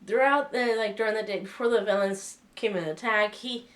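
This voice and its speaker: A woman's voice: mid-range voice, steady tone, brisk, fairly bright tone, far from the mic. A woman with a cold giving a casual spoken review, hesitant, with many fillers and restarts. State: sick with a cold. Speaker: vlogger reviewing a film.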